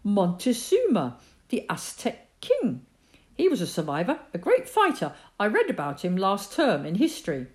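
Woman reader voicing an eager farm lad of fourteen, pleading, lively pitch. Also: normal speed. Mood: earnest. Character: earnest fourteen-year-old farm boy, pleading.

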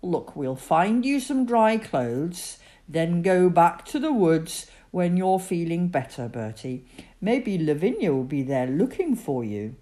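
A woman doing a gentle Daddy bear. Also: lowish voice, sing-song, slow pace. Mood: kind. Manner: gentle, reassuring. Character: kind, sensible father teddy bear. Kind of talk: children's storybook reading.

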